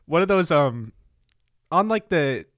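A young man talking loosely on a podcast, his voice sitting a little high for a man. He rambles and laughs a lot, close to the mic with a dry, dead sound. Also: sing-song, unhurried, fairly bright tone, dry recording. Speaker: podcast co-host. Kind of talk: casual chat. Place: small room with posters, indoors. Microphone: studio mic close up, headphones.

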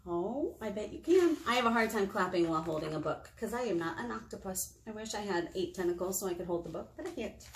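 An American woman in her thirties hosting a children's storytime, expressive and talking directly to small children in a room. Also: medium pitch, quick pace, hardly any pauses, a little hiss or hum. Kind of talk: children's storytime read-aloud with singing. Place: small indoor meeting room. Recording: roomy indoor echo.

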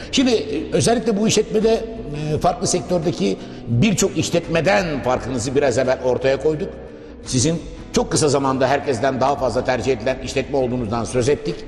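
A man hosting a formal promotional TV interview, speaking in long, polite, wordy sentences. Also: medium pitch. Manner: wordy, formal, addresses viewers and guest politely. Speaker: interviewer, TV host. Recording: echoey reverberant room, music behind. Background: background music.

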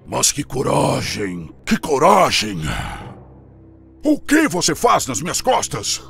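A man voicing a proud, gruff lion king in a children's cartoon fable, with an imperious, theatrical delivery. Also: medium pitch, huge pitch swings, slow, fairly bright tone, soft background music, exaggerated voice. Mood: scornful, menacing.

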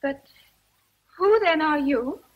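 An actress playing a composed, forthright young governess in a radio drama, with a breathy voice. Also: female voice, high voice, animated, takes it slowly, highs cut off. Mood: polite, firm. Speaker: actor.

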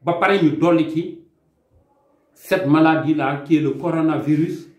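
A man's voice: fairly high pitch, measured pace, muffled recording. A young Senegalese man, an immigration consultant, gives an impassioned, emphatic statement to camera, gesturing with his hands. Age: young adult. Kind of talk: earnest video statement, mixing French and Wolof. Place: small indoor room. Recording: echoey room sound.

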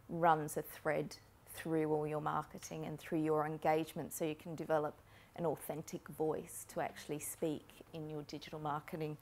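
A woman in her forties giving a conference talk in a lecture room on a lapel mic, explaining steadily with frequent ums. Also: low voice. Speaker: keynote speaker on marketing. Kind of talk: conference presentation. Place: lecture room with a projector screen.

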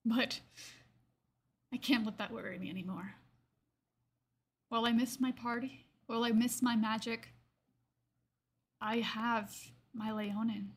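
A woman plays an aged lion-folk druid from a fantasy tabletop game, speaking in a fairly high voice. The delivery is gentle and reflective, with wistful pauses and phrases that fade into a hush. There is some noise on the recording. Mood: wistful, resigned. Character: gentle old gray-furred lion-folk druid in metal armor.

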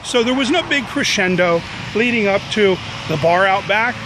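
A man's voice: high-pitched, animated, normal speed. A man in his forties hosting a vlog, joking his way through an outdoor visit, filmed with a handheld camera at arm's length beside a busy road. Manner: playful, mock-dramatic, wisecracking. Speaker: vlog host. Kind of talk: jokey exploring vlog. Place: outdoors by a road, overcast and drizzly. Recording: outdoor traffic noise behind. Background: passing cars.

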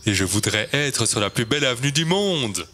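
A man's voice: medium pitch, lively intonation, moderate pace, pushed and bright, a little hiss or hum. A man voicing a tall, self-assured fir tree in a children's storybook, with an opinionated, declamatory manner. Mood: self-assured, dreamy.

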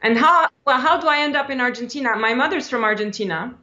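A woman's voice: sits high, fast talker, pushed and bright. A woman in her forties from Switzerland, talking as a guest on a video call interview, chatty with frequent laughs and restarts. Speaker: guest, actress. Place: small indoor room by a window. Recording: dull lo-fi video-call audio.

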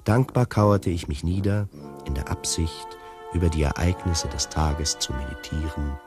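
An actor playing a wry, fastidious tiger who narrates a radio drama in a measured, ironic, formal way. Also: male voice, very deep voice, music in the background. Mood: wry, composed. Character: wry, fastidious male tiger recounting his escape.

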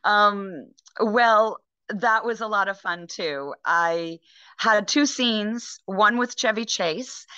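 A middle-aged actress chatting in a rambling, casual way over a video call from home, with many ums and restarts. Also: female voice, medium pitch, slow, pushed and bright, smooth, dry recording. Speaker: actress guest. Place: home room, indoors. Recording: dull lo-fi video call audio.

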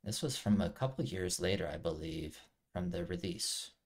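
A man's voice: deep voice, expressive, moderate pace, vocal fry. A middle-aged man giving casual reaction commentary as a video host, musing aloud as he watches. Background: trailer music.

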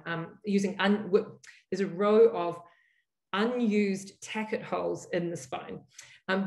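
Middle-aged New Zealand woman, academic giving a workshop talk; low-pitched, careful, self-correcting; roomy video-call sound. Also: darkish tone. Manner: thoughtful, frequent um and self-corrections. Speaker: academic speaker opening a workshop. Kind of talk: scholarly lecture over slides.

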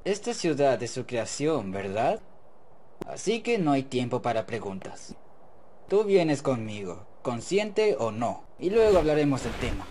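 Casting: sly game villain man acting, Latin American accent, slow taunting drawl, lively pitch, homemade dub sound. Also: a little hiss or hum. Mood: smug, insistent. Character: sly cocky hooded villain in a fantasy game. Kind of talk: amateur game fan dub in Spanish.

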